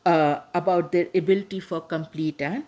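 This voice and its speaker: A woman hosting a podcast, giving a measured, earnest scripted introduction with emphatic stresses. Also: on the low side, steady tone, warm tone. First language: not Urdu. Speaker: podcast host.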